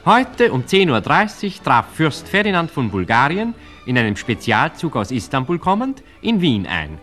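Radio play role: declamatory period news reader, animated delivery over faint music. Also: male voice, fairly high pitch. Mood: formal, upbeat. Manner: formal, measured, declamatory. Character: formal old-time Viennese newspaper announcer reading reports. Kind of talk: declaimed newspaper reading in radio drama.

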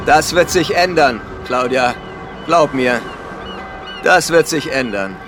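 An actor playing a controlling, devout island survivor who explains things in a measured, insinuating way in a horror radio drama. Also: male voice, medium pitch, animated, over music. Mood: insistent. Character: controlling male island survivor, smooth-talking, devout guide.

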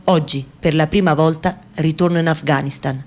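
A woman acting a fearful yet defiant Afghan woman in a radio theatre monologue, speaking in deliberate, repetitive short phrases. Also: deep voice, steady tone, darkish tone. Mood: tense, oppressed. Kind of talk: dramatic first-person monologue, radio theatre.

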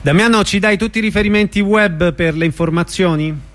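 High-pitched man hosting radio. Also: fairly quick, a bit bright. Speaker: radio host. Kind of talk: friendly radio interviewing.

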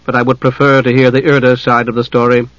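Dark elf wizard, played by a man: male, soft-spoken menace, measured, icy, fantasy audiobook, close dry sound. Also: mid-range voice, no echo, lo-fi sound, natural voice. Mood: cold, probing. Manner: quiet, measured, sardonic.

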